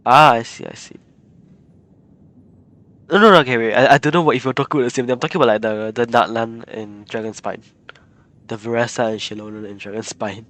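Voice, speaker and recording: A man's voice: mid-range voice, a little fast, pauses often, fairly bright tone. Chatty male game streamer. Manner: informal, rambling, chatty. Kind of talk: casual live gameplay commentary. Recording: game music behind the voice.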